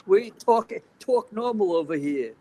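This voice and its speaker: A man playing a loud, pushy old New York street hustler with a put-on Lower East Side accent, all wisecracks and haggling patter. His voice sits high and swings wildly up and down as he barks at everyone. It is a comic bit in a loose group chat. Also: animated, measured pace, no room sound, exaggerated voice. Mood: mock-indignant.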